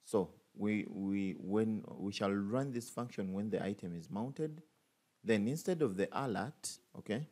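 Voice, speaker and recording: A man's voice: on the low side, a little slow, warm tone. A man teaching a coding tutorial over a screen recording, thinking aloud with many fillers and restarts. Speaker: programming tutor.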